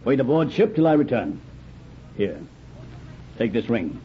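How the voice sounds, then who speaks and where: An actor playing a bold, confident buccaneer captain in an old radio drama, with hearty archaic seafaring phrasing. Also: male voice, medium pitch, very animated, unhurried, breathy edge, full character voice. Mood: brisk, trusting. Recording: dull, noisy old radio recording.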